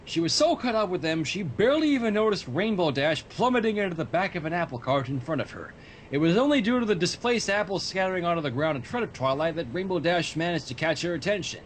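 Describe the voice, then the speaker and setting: Lively high-pitched man reading a story. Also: slight background noise. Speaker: fan fiction reader on a livestream. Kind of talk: storybook narration with casual asides.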